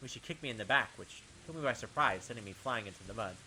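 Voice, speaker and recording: A man with a bright, forceful voice of medium pitch narrates a visual novel. His delivery is dramatic and expressive, like a storyteller, with pauses for effect. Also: slight background noise, rough recording. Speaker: gaming video host.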